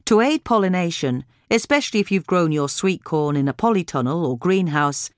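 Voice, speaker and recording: A woman's voice: on the low side, animated, moderate pace, no room sound, studio quality. A woman reading a scripted gardening article aloud as a podcast narrator. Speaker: podcast reader.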